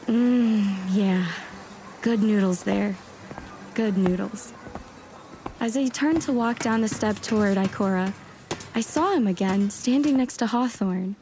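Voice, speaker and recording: A woman's voice: fairly high pitch, darkish tone, a little hiss or hum, close to an everyday voice. A woman playing an eager, self-doubting warlock scholar in an audio drama, chatty and wry as she narrates her own thoughts. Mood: wistful. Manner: wry, chatty inner monologue with muttered self-talk. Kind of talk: first-person audio drama narration and dialogue.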